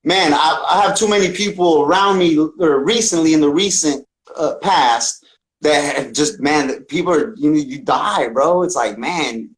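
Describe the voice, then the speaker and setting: A man's voice: a little high, moderate pace, poor audio. A man speaking as a podcast guest and musician in a casual, slangy, profane conversational style. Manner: slangy, profane, often says "man" and "bro".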